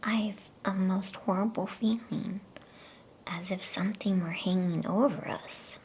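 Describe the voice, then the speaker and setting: A woman voicing an anxious, tender young girl in a hushed, worried whisper for a storybook reading. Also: medium pitch, fairly even intonation, measured pace, a little hiss or hum, natural voice.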